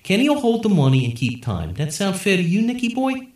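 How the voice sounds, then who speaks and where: A man's voice: sits high, very animated, fairly quick, a little mellow, echoey room, pitched above the performer's own voice. A man voicing Daniel, a mouthy, crude teenage stoner who talks in slang. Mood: mocking.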